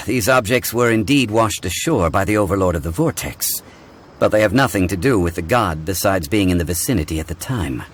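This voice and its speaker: An actor playing a calm, learned, courteous gentleman in a video game, explaining things in a measured, formal way. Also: low-pitched, breathy edge. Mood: composed, scholarly.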